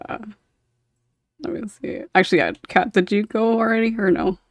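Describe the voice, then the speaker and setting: A woman's voice: mid-range voice, expressive, measured pace, dry recording. Giggly woman podcast host, dry close sound. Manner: giggly, chatty. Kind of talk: casual panel discussion.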